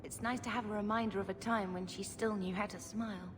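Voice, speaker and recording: An actress as a gentle young mage, reverberant. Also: female voice, fairly even intonation, fast, close to an everyday voice. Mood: tender, wistful. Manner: tender, sincere. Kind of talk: video game cutscene acting. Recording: long reverb, faint rumble.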